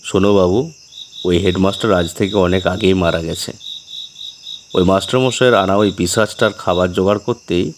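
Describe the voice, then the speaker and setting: Man as a gruff, gravelly village man. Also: low voice, steady tone, fairly quick, background noise. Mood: knowing, brusque. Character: gruff village man, knowing, grim, scolding.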